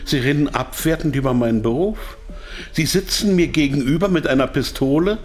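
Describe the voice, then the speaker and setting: An actor playing a proud, weary doctor held captive, speaking in a deliberate, pointed way in a radio drama. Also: male voice, on the high side, faint music behind, natural voice. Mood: indignant.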